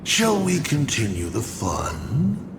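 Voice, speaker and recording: An actor voicing a theatrical, mocking male villain in a video game, with a taunting, drawn-out delivery. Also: mid-range voice, huge pitch swings, vocal fry, background noise, exaggerated voice. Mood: smug. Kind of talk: dramatic video game voice acting. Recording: loud music under the voice. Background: music.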